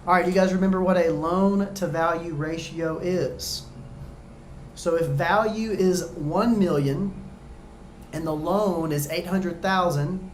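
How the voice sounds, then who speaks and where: A man tutoring viewers through real estate exam questions in a casual, chatty way, joking and going a bit hoarse. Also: a little high, roomy sound, slight background noise. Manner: chatty, talks straight to viewers, jokey asides. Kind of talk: casual practice-exam walkthrough.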